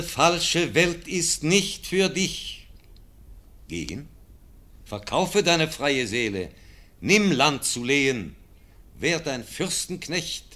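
A man's voice: fairly low pitch, heavily acted. An old, proud nobleman. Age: older. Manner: solemn, pleading, swings from loud to hushed. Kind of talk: classic radio play acting.

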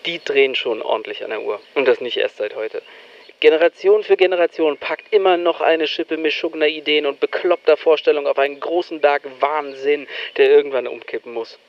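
A man playing a disillusioned, sardonic young East German in a radio drama, speaking his monologue in a wry, deadpan way. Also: fairly high pitch, brisk, no room sound, dull audio, lightly acted. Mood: sardonic, weary. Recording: noisy amateur tape-style recording, limited band.

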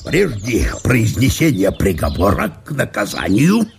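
A man playing a pompous, officious small-town police chief in a literary audio play, with a gravelly voice and deliberate official manner. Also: fairly low pitch, wildly swooping pitch, a little hiss or hum, pitched below the performer's own voice, full character voice.

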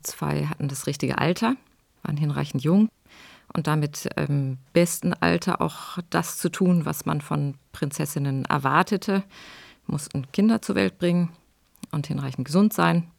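Gravelly, deliberate expert man, dry sound. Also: fairly high pitch, no echo. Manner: deliberate, academic. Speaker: expert commentator. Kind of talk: explanatory interview talk.

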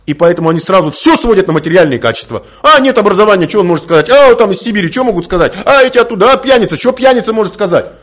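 A man, not a native speaker, delivers a spiritual lecture with a lot of expression. His voice sits a little high and swings widely in pitch as he fires off rhetorical questions and tells anecdotes. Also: rapid-fire, few pauses. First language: not Russian. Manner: animated storytelling with rhetorical questions. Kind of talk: recorded religious lecture.

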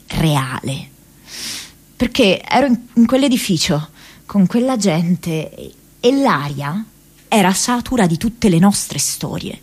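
Halting, earnest young activist woman. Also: lowish voice, darkish tone, husky. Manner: halting, reflective, conversational, with hesitations and repeated words. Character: young American woman activist reading her diary, earnest, searching. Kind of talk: radio theatre monologue.

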